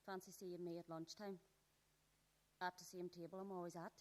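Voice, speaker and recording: A woman's voice: fairly low pitch, flat delivery, moderate pace, lightly acted. A woman acting in a radio play as a blunt, sarcastic teenage schoolgirl who is exasperated but caring.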